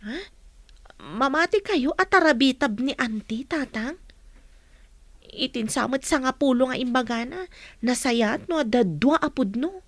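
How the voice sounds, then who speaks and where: A woman's voice: sits high, animated, unhurried, long pauses, dry recording, heavily acted. A woman playing a friendly, respectful young working daughter in an Ilocano radio drama. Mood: questioning.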